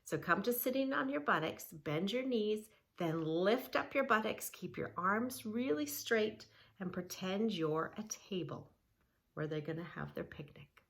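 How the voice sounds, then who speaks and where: A woman reading a children's book aloud and gently guiding kids through yoga poses, soothing and instructive. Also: mid-range voice, expressive, unhurried, creaky. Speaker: kids yoga teacher and reader.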